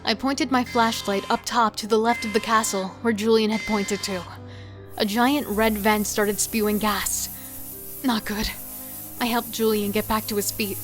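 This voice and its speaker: A woman's voice: on the high side, steady tone, measured pace, faint music behind, natural voice. A woman playing a wary, devoted female killer in a horror audio drama, telling and living the story with tense emotion. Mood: grim, tense. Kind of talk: first-person dramatic narration and horror audio drama dialogue.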